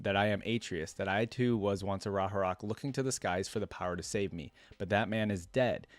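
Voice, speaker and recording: Low-pitched man, close dry sound. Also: no echo. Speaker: reaction video host. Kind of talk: reading a story aloud with casual asides.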